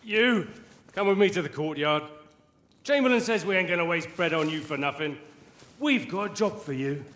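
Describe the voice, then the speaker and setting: An actor playing a cruel, taunting jailer in a video game. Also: male voice, a little high, expressive, normal speed, a bit bright.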